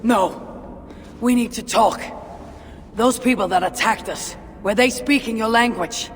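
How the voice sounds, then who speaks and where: A woman's voice: on the high side, unhurried, a little hiss or hum, close to an everyday voice. Curt Viking warrior woman voiced by an actress. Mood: suspicious. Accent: Scandinavian. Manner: terse, blunt, dryly scornful. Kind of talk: video game cutscene acting.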